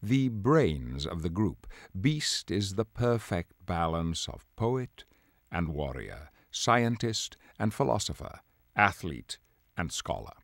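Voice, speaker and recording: A man's voice: low voice, sing-song, takes it slowly, dry recording, clean studio sound, exaggerated voice. A man playing a grave, dignified leader of superhero mutants, delivering solemn, portentous dramatic narration for a video game. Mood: grave, measured. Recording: music under the voice. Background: music.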